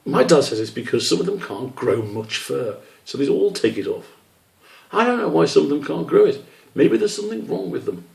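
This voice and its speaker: A man's voice: a little high, big pitch swings, normal speed, gravelly, a little mellow, voice pushed up, heavily acted. Storybook young badger read by a man: dry, huffy grumbler, recorded from a distance. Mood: explaining. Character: young male badger, touchy, grumbling, matter-of-fact. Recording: roomy echo, distant microphone.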